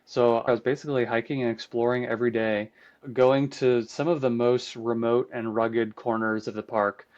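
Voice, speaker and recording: A man's voice: mid-range voice, little pitch movement, normal speed, darkish tone. A man with a mid-range voice talks as a guest in an interview, explaining at length in a conversational way. His first language is Croatian. The recording is slightly muffled and lo-fi. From United States, Croatian immigrant family. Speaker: podcast guest, trip guide and composer.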